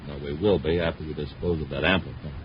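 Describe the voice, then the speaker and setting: An actor playing a boastful, tough, scheming space crook in a 1950s radio adventure. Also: male voice, very deep voice, fairly even intonation, fast. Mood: smug. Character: cocky, scheming male space crook boss. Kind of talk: 1950s radio villain acting. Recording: dull, faintly noisy 1950s radio recording.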